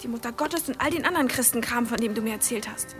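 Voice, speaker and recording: A defiant young village woman voiced by an actress in a radio play. Her voice is high and she speaks with a wounded, accusing intensity. Music plays clearly behind her. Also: fast talker, lightly acted. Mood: bitter, accusing. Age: young adult. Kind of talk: German radio play acting.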